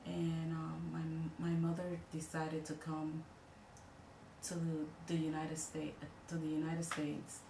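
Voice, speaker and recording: A woman from the Dominican Republic with a Dominican accent, recounting her family history in a halting way, often repeating herself as she searches for words. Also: sits low, monotone, vocal fry, far from the mic. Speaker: interviewee. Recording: echoey room, voice off-mic with faint noise.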